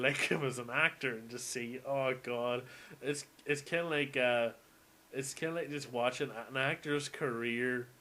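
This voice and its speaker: A man speaks off the cuff in an average-pitched voice, like someone hosting his own audio log. He rambles casually and gives blunt opinions. His delivery is emphatic and peppered with swearing. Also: fairly bright tone. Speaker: solo host of an audio log on games and films. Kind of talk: unscripted rambling casual rant.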